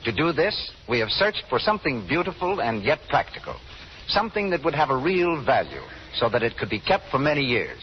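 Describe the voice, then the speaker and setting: A muffled old radio recording with faint hiss carries an American man announcing a program. His medium-pitched voice swings widely in a formal, scripted 1930s announcer style. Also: sing-song, brisk.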